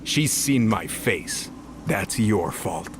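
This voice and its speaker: A man's voice: fairly low pitch, expressive, slow, slight background noise. Man acting a taunting, casual male angel of death. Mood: smug menace. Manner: casual, sardonic, menacing. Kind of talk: mythology audio drama acting.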